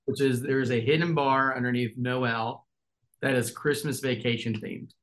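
A man's voice: mid-range voice, fairly even intonation, moderate pace, fairly bright tone. A man in his twenties, a podcast guest, talking in an easygoing, joking way. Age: 20s. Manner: easygoing, joking, laughs often. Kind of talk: casual interview chat.

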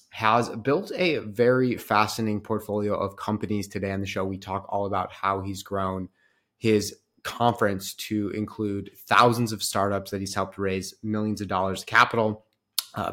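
A man's voice: lowish voice, fairly bright tone, no room sound, clean studio sound. A man in his thirties hosting a podcast, talking in an upbeat, fluent way as he introduces and questions his guest. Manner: upbeat, fluent, fond of intensifiers. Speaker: podcast host.

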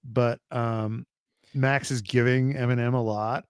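A man's voice: medium pitch, little pitch movement, unhurried, smooth, no echo, clean studio sound. A young man chatting casually as a podcast guest, jokey and sweary. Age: millennial, young adult. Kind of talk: casual chat.

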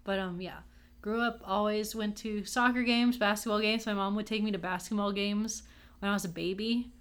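A woman's voice: medium pitch, fairly even intonation, moderate pace, clean tone. Chatty upbeat young woman from Texas. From Texas, USA. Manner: chatty, upbeat, self-mocking, laughs often. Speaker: podcast co-host. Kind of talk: casual podcast chat.

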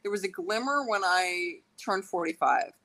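A woman's voice: medium pitch, moderate pace, pushed and bright. A woman in her forties from Los Angeles, a guest talking frankly and joking in a casual chat. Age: 40s. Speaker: guest artist.

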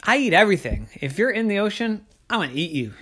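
A man's voice: high voice, sing-song, fast, bright, forceful tone, husky, pitched above the performer's own voice, heavily acted. Man playing a gloating, hungry storybook shark. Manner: gloating, savouring words.

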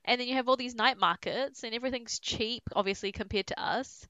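A woman's voice: fairly high pitch, fairly quick, pushed and bright, no echo. A young woman, hosting a casual chat with a friend and filling her talk with "like" and laughter. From New Zealand. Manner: chatty, full of "like" fillers, laughs often. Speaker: podcast host.

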